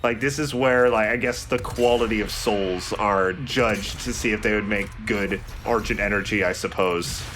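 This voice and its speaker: A man's voice: on the low side, normal speed, few pauses, a bit bright, a little hiss or hum. A man giving casual, explanatory game commentary as a let's play host. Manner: explanatory, conversational. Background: game music.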